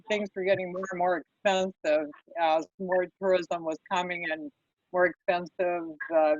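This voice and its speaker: A man giving casual, unscripted remarks in a hesitant, halting way as a participant in a meeting. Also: high voice, no room sound. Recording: dull, lo-fi online call audio.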